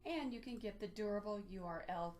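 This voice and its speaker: A woman presenting an instructional software walkthrough, explaining methodically step by step in a lightly improvised way. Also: medium pitch, animated, brisk. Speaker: presenter of a library database tutorial.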